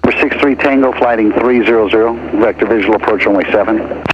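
A man's voice: lowish voice, fast, highs cut off. A man working as an air traffic controller, giving clipped instructions over aviation radio.

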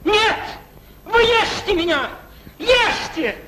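An actor playing a ragged, lovesick young hare in an old animated film, pleading and declaiming theatrically. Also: male voice, extremely high pitch, takes it slowly, noisy background, exaggerated voice. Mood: desperate pleading. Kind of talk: 1940s Soviet animated film acting. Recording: old film soundtrack, loud music.

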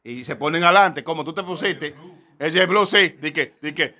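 A man's voice: fairly high pitch, moderate pace, sharp tone. Teasing Dominican man hosting in a shop. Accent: Dominican Spanish. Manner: joking, teasing, laughs often. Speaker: host. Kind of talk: casual comedic chat. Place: small cluttered clothing shop, indoors. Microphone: desk boom mic.

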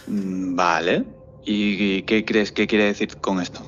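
A man's voice: fairly low pitch, flat delivery, a little slow, a bit bright. An actor from playing a casual, mildly sceptical male podcast colleague in natural audio-drama dialogue. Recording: dull sound with music behind.

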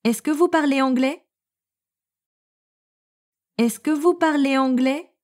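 A woman narrator reading French phrases for learners, clearly and evenly, each one repeated. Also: high-pitched, slow, darkish tone. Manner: reads each phrase three times, evenly.

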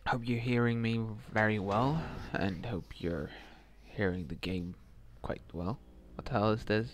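Slow-talking young man gaming. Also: fairly low pitch, a little hiss or hum. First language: Swedish. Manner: muttering, reacting to a horror game. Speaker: gamer. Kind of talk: let's play commentary. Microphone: headset mic.

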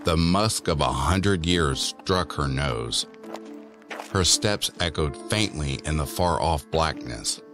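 A man with an extremely low voice narrates a scripted horror tale. Prominent music plays underneath his storytelling. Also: lively intonation, takes it slowly.

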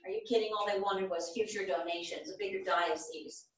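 A woman's voice: medium pitch, fairly even intonation, a little fast, lightly acted. A woman playing a blunt, regretful elderly mother of six in a staged reading, plain-spoken and wry. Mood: regretful, candid. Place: small theatre stage, seated at music stand. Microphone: far camera. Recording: very echoey theatre stage from far off.

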